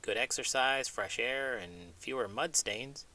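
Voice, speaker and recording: A man's voice: mid-range voice, measured pace, pushed and bright. A man voicing a cocky, carefree talking pig with a boastful, teasing manner in a children's show. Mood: cheerful, breezy.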